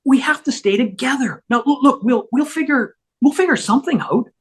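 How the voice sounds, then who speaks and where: A man as a husky, frantic pleader. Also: extremely high pitch, fairly quick, a bit bright, exaggerated voice. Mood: pleading, desperate. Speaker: fan table-read actor. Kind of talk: scripted comedy reading. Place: video call.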